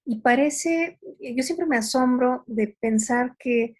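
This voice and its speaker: A woman moderating a talk, commenting reflectively in a halting, thoughtful way. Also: high voice, slightly rough.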